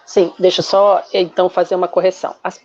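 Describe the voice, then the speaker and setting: A woman from Rio de Janeiro with a Carioca accent, a journalist guest explaining patiently with many pauses in a casual podcast chat. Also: lowish voice, flat delivery, a little mellow, lo-fi sound. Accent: Carioca Brazilian Portuguese. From Rio de Janeiro, Brazil.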